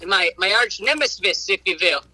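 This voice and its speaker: A performer puts on an eager male cheesemaker whose accent is a put-on mix of European sounds. The voice is sharply bright. It is close and dry. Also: medium pitch, animated, normal speed, no echo. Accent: put-on mixed European. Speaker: interview guest (comic character). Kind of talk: comic phone interview bit.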